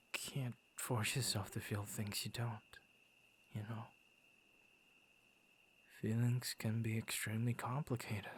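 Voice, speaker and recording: A man acts out a gentle young werewolf in an ASMR roleplay, low-voiced and very slow. He is shy and hesitant, stammering softly and often slipping into a breathy near-whisper. There is noticeable background noise around the voice. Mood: soothing, sympathetic. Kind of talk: ASMR roleplay, intimate soft-spoken acting.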